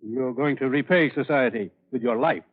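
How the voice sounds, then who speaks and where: A man plays a measured, probing doctor in an old radio thriller. His mid-range voice is restrained and clinical. The recording is thin and phone-like, from 1950s radio. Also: medium pitch, close to an everyday voice. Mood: stern, clinical. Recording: muffled, narrow-band old radio recording.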